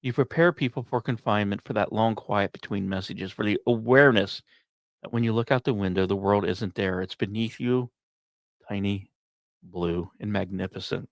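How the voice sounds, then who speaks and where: A man's voice: fairly low pitch, normal speed, no echo. Dry-sounding man narrating a science podcast. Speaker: podcast host. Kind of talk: scripted narration of space and science news with asides to listeners.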